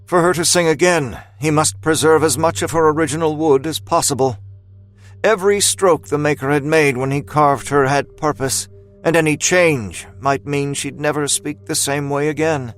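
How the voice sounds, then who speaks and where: A man with a fairly high voice narrates a scripted drama. His phrasing is deliberate and literary, and faint music plays behind him.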